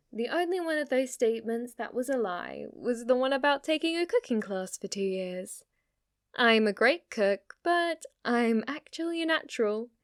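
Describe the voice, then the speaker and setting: A woman playing a playful, possessive, lovestruck witch in an ASMR roleplay, speaking to the listener in a teasing, cutesy way. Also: fairly high pitch. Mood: smug, possessive. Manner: teasing, cutesy, swinging between coy murmurs and eager outbursts.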